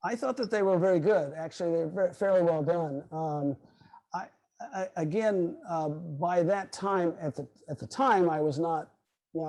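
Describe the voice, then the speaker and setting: An older man with a fairly high but soft, mellow voice, speaking as a guest in an online meeting. He talks informally and haltingly, wandering through his thoughts and regrouping as he goes. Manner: halting, rambling, loses his train of thought. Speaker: guest speaker, radio history author. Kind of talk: informal question-and-answer talk. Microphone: video call microphone.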